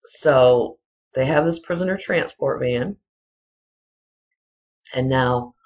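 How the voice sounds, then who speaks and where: Casual solo commentator, medium pitch, unhurried and halting, insistent repetition, rambling delivery. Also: darkish tone, poor audio. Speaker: video commentator.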